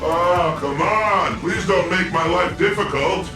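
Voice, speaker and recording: A man's voice: high voice, slow, reverberant, far from the mic, over music. A man voicing a flamboyant, self-mocking corporate security officer in a video game, with a swaggering, glib, theatrical delivery. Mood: wry, joking.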